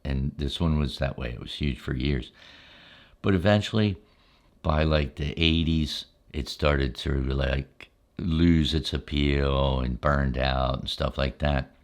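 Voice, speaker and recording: An older man reminiscing in a relaxed, unscripted ASMR style, unhurried and halting, often pausing mid-sentence. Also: a bass voice. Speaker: ASMR storyteller, channel host.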